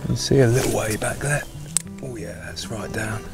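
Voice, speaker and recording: A man's voice: medium pitch, fairly even intonation, moderate pace. A British man in his thirties giving casual, chatty fishing commentary on a wooded riverbank. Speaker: angler presenting a fishing video. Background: music.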